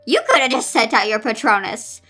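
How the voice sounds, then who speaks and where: A woman's voice: fairly high pitch, expressive, fairly quick, sharp tone, soft background music. A woman reading fan fiction aloud in a theatrical way, breaking off into incredulous, sarcastic commentary. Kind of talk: dramatic read-aloud with exasperated commentary.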